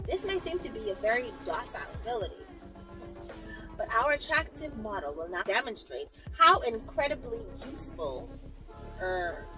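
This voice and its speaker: An American woman reading a story aloud as a channel host, expressive and sassy, dropping in casual asides. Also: a little high, normal speed, music in the background, poor audio.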